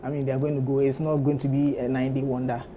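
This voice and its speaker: A man with a Ghanaian accent answering interview questions in halting, repeated phrases. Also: mid-range voice, little pitch movement, warm tone, muffled recording, slight background noise. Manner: hesitant, halting phrases with repetitions. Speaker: fashion designer, studio guest.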